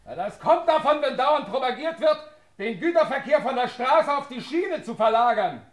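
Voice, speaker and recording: An actor playing an officious, grumbling train conductor in a comic crime radio play. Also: male voice, extremely high pitch, lively intonation, moderate pace. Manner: indignant, grumbling.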